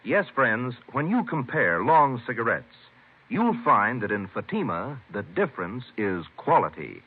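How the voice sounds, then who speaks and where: A male commercial announcer delivers an old-time radio ad with lively, emphatic intonation. His voice is husky and medium in pitch. The recording is narrow and dated. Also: measured pace. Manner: emphatic, salesman-like. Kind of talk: 1950s radio cigarette advertisement read.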